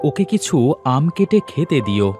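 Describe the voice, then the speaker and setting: Man playing an animated, caring cartoon village father. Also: fairly high pitch, moderate pace. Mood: fond. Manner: expressive, fatherly, questioning. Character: caring, concerned village father, protective of his daughter. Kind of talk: cartoon voice acting. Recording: music behind the voice.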